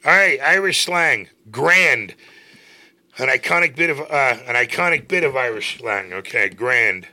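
A middle-aged man co-hosting a casual show, reading slang out loud with jokes and a mock Irish accent. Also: medium pitch, animated, a little slow. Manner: joking, puts on a playful Irish accent for the sample sentences. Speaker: co-host.

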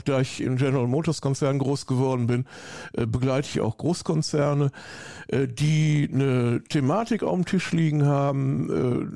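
A man's voice: on the high side, gravelly, mellow, dry recording, clean studio sound. A middle-aged man with a Rhineland German accent, talking effusively and rambling with many fillers as an interview guest. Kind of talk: casual interview.

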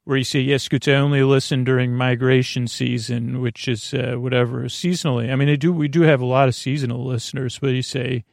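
A man with a creaky voice hosting a bedtime podcast, soothing and whimsical, meandering and halting as he interrupts himself. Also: medium pitch, little pitch movement, no echo, studio quality. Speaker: podcast host. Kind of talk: rambling bedtime monologue.

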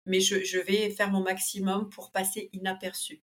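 A woman's voice: mid-range voice, normal speed. A woman guest in a casual interview, talking with many fillers and restarts, and livelier when she tells a story. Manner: lively when telling an anecdote.

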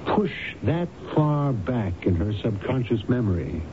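An actor playing a calm, authoritative doctor in a radio drama, speaking in a deliberate, grave, professional way. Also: male voice, mid-range voice. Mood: grave, measured. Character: calm, authoritative doctor probing a patient's memory. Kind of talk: old-time radio drama acting. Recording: noisy, dull old radio recording.

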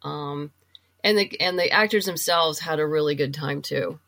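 A woman's voice: deep voice, normal speed, a bit bright. A woman talking casually and enthusiastically as a guest in an interview, in a chatty way. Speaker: guest, writer and audio drama producer.

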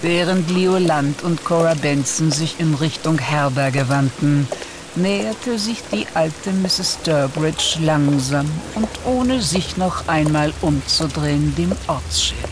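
A man's voice: fairly high pitch, slow pace, background noise. A man narrating an audio drama from a script.

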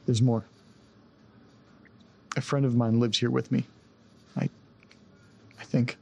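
A man acting a troubled man in an audio drama, haunted and desperate. His voice is slightly husky and mid-pitched, and he talks in halting, earnest phrases that break off. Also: little pitch movement, warm tone, heavily acted. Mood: haunted, uneasy. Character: distressed man recounting a haunted-house ordeal, desperate to get home.

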